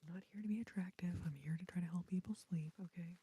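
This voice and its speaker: Low, dark whispering woman. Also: quick pace, a little hiss or hum. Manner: rambling, playful, chatty asides to viewers; soft unvoiced whisper. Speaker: ASMR livestream host. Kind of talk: whispered ASMR livestream chat.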